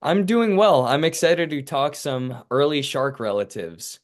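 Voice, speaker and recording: A man's voice: on the high side, a little slow. A man hosting a podcast, opening casually and upbeat before handing over to his guest. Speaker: podcast host. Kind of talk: podcast interview.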